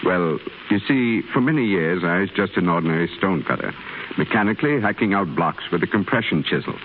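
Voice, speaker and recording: A man playing an older male stonecutter who talks to a younger man in a gentle, fatherly, measured way. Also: low voice, animated. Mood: earnest. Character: older male stonecutter, kindly earnest mentor. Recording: tinny noisy 1930s radio broadcast.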